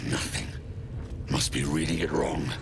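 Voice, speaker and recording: An actor voicing a domineering male hobgoblin who barks orders and shouts incantations in a gruff, gravelly voice, in a video game. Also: rumbling low, steady tone, unhurried, heavy background noise. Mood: gruff scheming. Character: brutish male hobgoblin cult enforcer. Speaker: villain.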